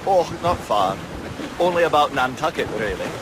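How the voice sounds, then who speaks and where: An actor as courteous navigator, loud sea behind. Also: male voice, mid-range voice, expressive, moderate pace, close to an everyday voice. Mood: modest, earnest. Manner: polite, precise, earnest. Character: courteous, modest but keen explorer and navigator. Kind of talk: period game cutscene acting. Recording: loud sea, wind and ship noise.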